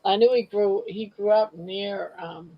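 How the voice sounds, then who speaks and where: A woman's voice: medium pitch, steady tone, unhurried. A woman chatting casually on a livestream, heard through dull audio. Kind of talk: casual live chat.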